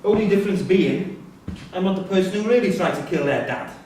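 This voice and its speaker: A man's voice: high voice, expressive, a little fast, darkish tone, slight background noise. An actor in a staged reading playing a young male prisoner who needles and argues in a taunting, probing way. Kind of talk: live staged play reading. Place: theatre-pub performance space, recorded from a distance. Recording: very echoey stage recording from far off.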